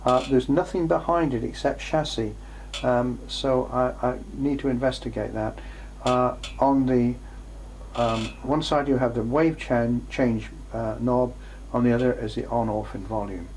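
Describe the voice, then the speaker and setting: A man's voice: medium pitch, steady tone, a little mellow. Hesitant man over noisy hum. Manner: hesitant, halting, thinking aloud. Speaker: presenter showing vintage electronics for restoration. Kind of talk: unscripted workshop show-and-tell. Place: workshop. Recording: clearly noisy, with background hum.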